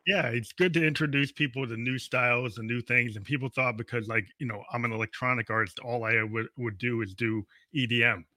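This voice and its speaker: A man's voice: medium pitch, fairly even intonation, a little fast, hardly any pauses, bright, forceful tone, echoey room. A man in his fifties hosting an interview, rambling casually with many restarts. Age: 50s. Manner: rambling, restarts often, laughs easily. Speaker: radio show host.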